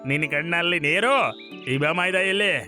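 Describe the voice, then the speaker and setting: Man playing a bright-voiced protective wolf brother. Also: high-pitched, moderate pace, faint music behind. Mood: concerned. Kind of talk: cartoon voice acting.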